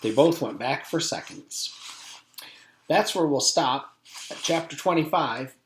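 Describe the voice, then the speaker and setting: A man's voice: a little high, lively intonation, unhurried, slight background noise. A man reading a children's storybook aloud in the manner of a teacher with a class. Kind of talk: storybook read-aloud narration. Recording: echoey room.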